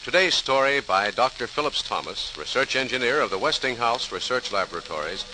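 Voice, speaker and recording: A man's voice: mid-range voice, expressive, brisk. A man narrating an old-time radio program as its announcer, in a formal, dramatic scripted style. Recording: noisy, hissy old radio recording.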